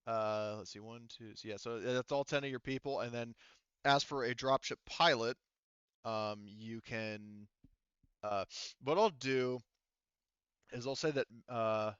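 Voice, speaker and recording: A man's voice: medium pitch, fairly bright tone, clean tone, no echo, dull audio. A man running an online tabletop game as game master, talking casually.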